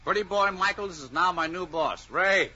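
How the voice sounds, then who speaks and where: An actor playing a tipsy, bitter and stubborn husband in a melodramatic radio drama. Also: male voice, sits high, normal speed, bright, forceful tone, lo-fi sound. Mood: bitter, sarcastic. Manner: belligerent, boastful. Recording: band-limited vintage radio recording, faint noise.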